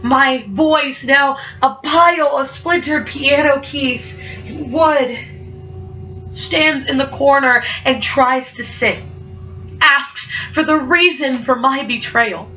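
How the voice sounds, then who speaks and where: A woman's voice: sits high, takes it slowly, pushed and bright, soft background music. A young woman performing spoken word poetry, speaking with a stutter and an emphatic, rhythmic delivery. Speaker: poet. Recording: muffled, from far off.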